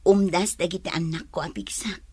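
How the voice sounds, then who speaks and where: A woman's voice: on the low side, fairly quick, warm tone, husky, no room sound. A woman with an Ilocano accent playing a forgiving, dignified young mother in a drama. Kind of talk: Ilocano comedy drama voice acting.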